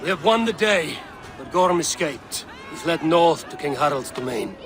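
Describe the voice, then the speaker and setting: A man's voice: a little high, lively intonation, moderate pace, a crowd in the background, close to an everyday voice. An actor voicing a vengeful male Viking warrior who speaks coldly and bluntly in a video game. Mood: grim. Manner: cold, taunting, terse. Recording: game audio with clear music behind.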